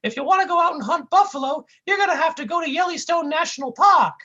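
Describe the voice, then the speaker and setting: A man's voice: expressive, quick pace, bright, forceful tone, highs cut off, full character voice. A man voicing a dim, clumsy, chubby male comic sidekick with a whiny, folksy way of talking. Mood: goofy, whiny. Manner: squeaky, whiny, folksy slang.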